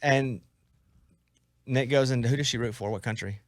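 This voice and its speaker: A man's voice: medium pitch, normal speed, dry recording. American man hosting, close dry studio sound. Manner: joking, talkative. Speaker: radio show host. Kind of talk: casual celebrity interview. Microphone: close studio microphone.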